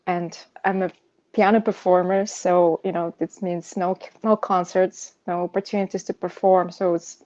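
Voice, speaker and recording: A woman from Russia, a native Russian speaker, telling a personal story as an interview guest in a halting, searching way. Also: on the low side, a little mellow, highs cut off. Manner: hesitant, halting, frequent "you know". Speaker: podcast guest sharing personal insomnia story; pianist.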